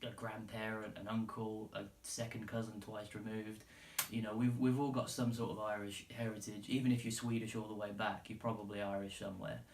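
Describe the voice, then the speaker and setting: A man's voice: fairly low pitch, little pitch movement, a little fast, darkish tone, slight background noise. A man with an English accent talking casually and unscripted as a solo podcast host, rambling, informal and sweary. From England. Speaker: podcast host giving his opinion. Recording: roomy, echoey, distant from the mic.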